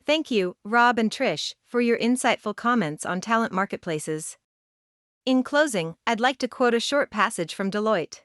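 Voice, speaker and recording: A woman reading scripted narration as a show's assistant, in a formal and measured manner. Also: fairly high pitch, expressive, a bit bright, no room sound, studio quality.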